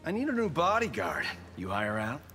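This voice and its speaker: An actor voicing a cocky, flirtatious movie-star martial artist in a video game, swaggering and slangy. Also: male voice, medium pitch, wildly swooping pitch, moderate pace, over music. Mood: cocky flirting. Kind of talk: video game fight-intro banter.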